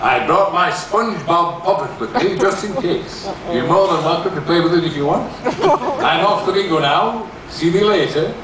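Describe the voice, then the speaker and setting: An older man voicing a brisk, blunt, chatty woman in a comic storybook reading, no-nonsense in manner. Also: a little high, crowd noise, pitched above the performer's own voice, close to an everyday voice. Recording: echoey theatre, distant camera mic, loud noise.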